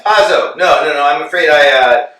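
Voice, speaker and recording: An actor playing an anxious, fumbling vagrant who stammers in a staged play reading. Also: male voice, on the high side, normal speed, bright, forceful tone. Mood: flustered. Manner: stammering, flustered. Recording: echoey room, far mic.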